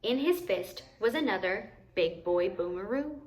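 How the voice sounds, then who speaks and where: Slow-paced woman reading a children's story. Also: mid-range voice, reverberant. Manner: expressive, deliberate, commenting in simple asides. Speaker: storyteller reading a picture book aloud. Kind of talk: children's read-aloud with asides. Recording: noticeable background noise.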